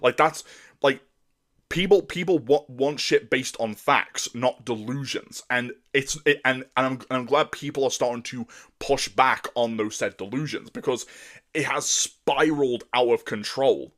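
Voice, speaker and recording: A man with a deep voice delivering an angry, profane rant, often stumbling and restarting his words. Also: a little slow, a bit bright. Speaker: commentator. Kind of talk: ranting monologue.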